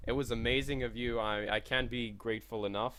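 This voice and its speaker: A man's voice: medium pitch, steady tone, a little fast, background noise. A young man with a slight Serbian accent, chatting casually while streaming a game, thanking viewers and swearing at it. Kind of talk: casual live-stream commentary.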